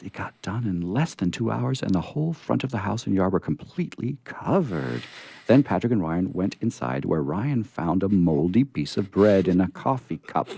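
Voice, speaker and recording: Low, lively male storyteller with gravelly edge. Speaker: radio theatre host and reader. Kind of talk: live dramatic story reading. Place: live radio reading before a small group.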